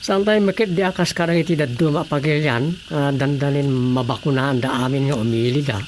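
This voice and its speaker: A man playing a practical, questioning village colleague in an Ilocano Filipino radio drama, matter-of-fact, with a gravelly voice. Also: mid-range voice, a little fast, slight background noise, close to an everyday voice. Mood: matter-of-fact, doubtful. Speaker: voice actor.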